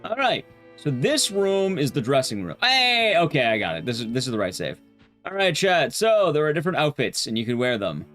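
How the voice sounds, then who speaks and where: Animated male streamer rambling over music. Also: on the high side, fairly quick. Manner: rambling, often restarting sentences mid-thought. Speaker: livestreamer. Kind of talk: casual game stream commentary, chatting with viewers.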